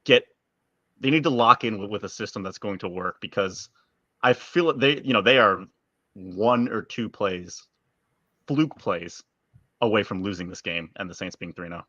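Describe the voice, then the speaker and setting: A man's voice: on the low side, normal speed, sharp tone, no echo, dull audio. A man co-hosting a sports podcast, chatting casually with emphatic opinions and some swearing.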